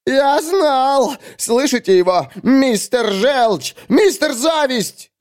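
A man's voice: very high voice, animated, slow pace, voice pushed up, full character voice. A man voicing a tortured, self-pitying poet in an audiobook, wailing and complaining with bitter, melodramatic indignation. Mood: shrill indignation. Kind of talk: audiobook character acting.

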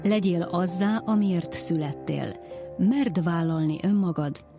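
A woman reading a scripted sign-off as a radio host. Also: on the low side. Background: music.

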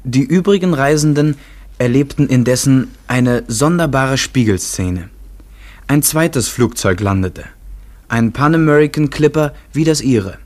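A man narrating a literary radio play in a measured, precise manner. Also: medium pitch, lively intonation, a little mellow.

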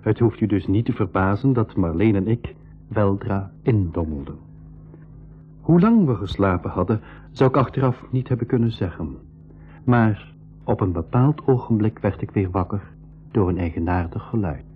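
A low male storyteller played by an actor recounts his adventure in measured, literary phrases. The sound is boxy and narrow, like an old 1980s radio broadcast. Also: lively intonation, faint music behind, lightly acted. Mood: reflective. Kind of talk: scripted first-person radio play narration. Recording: boxy, band-limited 1980s radio recording.

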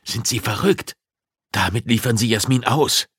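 A man's voice: mid-range voice, normal speed, fairly bright tone, breathy edge, no echo, clean studio sound, voice pushed up. A man voicing a desperate, emotional police detective and father in an audiobook, protesting in agitation. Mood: outraged. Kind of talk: audiobook dialogue acting.

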